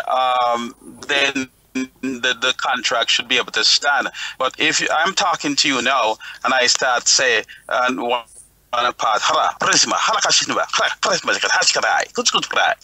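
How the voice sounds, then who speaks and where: A man's voice: mid-range voice, pushed and bright, rough recording. A man on a talk panel explaining his opinions emphatically, repeating words to drive points home.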